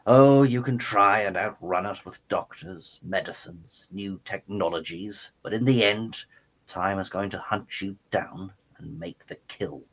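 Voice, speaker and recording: A man's voice: deep voice, unhurried, a bit bright, full character voice. A man playing a smug, coldly obsessed mad-scientist villain in an amateur radio play, theatrical and taunting. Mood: coldly menacing.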